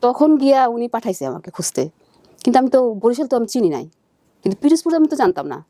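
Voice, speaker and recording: Female guest, Bangladeshi accent, slightly high voice, very rapid but halting personal storytelling, dry close recording. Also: sing-song, warm tone, no room sound. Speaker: guest searching for birth family. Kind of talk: emotional personal recounting in conversation.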